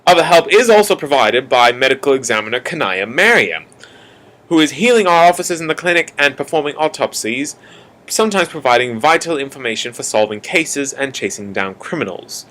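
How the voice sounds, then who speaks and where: An earnest police-drama announcer, played by a man with an Australian accent. He narrates a radio play with theatrical weight, stretching key words for emphasis. His voice sits at a medium pitch. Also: big pitch swings, brisk, fairly bright tone, rough recording. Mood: wry, confiding. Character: earnest male announcer introducing a city police force.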